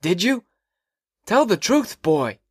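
A man voicing an old, sarcastic male history teacher who lectures slowly and pointedly. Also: high-pitched, big pitch swings, breathy edge, no room sound, studio quality, voice pushed up, heavily acted.

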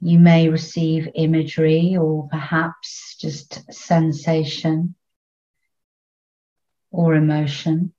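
A woman leading a guided meditation in a soothing, unhurried way, leaving long pauses between short phrases. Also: low voice, flat delivery, warm tone, highs cut off.

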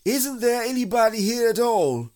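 A man voicing a cheerful, simple-minded storybook bear who speaks in a slow, emphatic singsong and likes to hum and call out proudly. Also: on the high side, very animated, voice pushed up. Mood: loud, puzzled. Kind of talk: children's storybook character voice.

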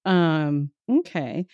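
Chatty woman host, low voice. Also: mellow, no echo. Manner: encouraging, chatty, quick to laugh. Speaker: physical therapist and podcast host. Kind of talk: casual interview.

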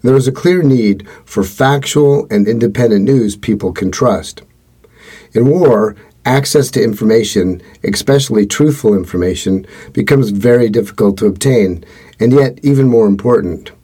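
A man hosts a podcast and reads a prepared script. He sounds earnest and steady. His voice sits low, with a dark, mellow tone and a faintly husky edge. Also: normal speed. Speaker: podcast host.

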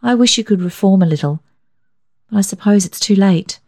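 A woman's voice: medium pitch, normal speed, darkish tone, voice pushed up, natural voice. A woman voicing a frank, affectionate young mother in a storybook reading. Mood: earnest.

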